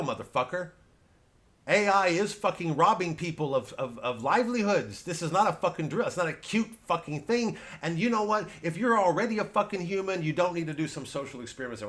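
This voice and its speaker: A man's voice: mid-range voice, fairly bright tone. A male comedian rambling through a blunt, indignant stand-up rant, swearing freely.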